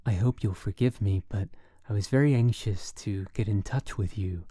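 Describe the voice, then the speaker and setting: A woman's voice: rumbling low, lively intonation, slow pace, mellow, no echo, clean studio sound, heavily acted. A woman voicing a young man in an audiobook who feigns a suave, mature, polite manner. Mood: suave, anxious.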